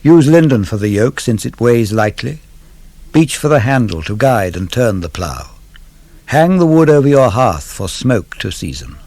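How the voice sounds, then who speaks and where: A man reads verse aloud in a measured, instructive way. His pitch moves expressively through each phrase, and every line is set down deliberately. The sound is close and dry, with very little room around the voice. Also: very animated, darkish tone, no echo. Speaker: poetry reader. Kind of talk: dramatic verse recitation, scripted narration.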